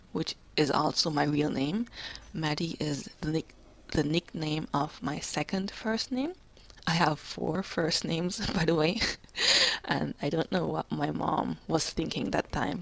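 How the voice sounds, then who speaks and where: Low-voiced young woman, soft and halting. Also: gravelly, dry recording, low-quality recording. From Jamaica. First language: English. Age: 20s. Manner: soft-spoken, halting, quiet and calm. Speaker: gamer. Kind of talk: personal commentary.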